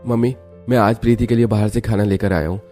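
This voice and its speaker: A woman's voice: rumbling low, fairly even intonation, quick pace, mellow, faint music behind, pitched below the performer's own voice. A woman voicing an earnest, caring young married son in a family cartoon. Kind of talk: acted cartoon story dialogue.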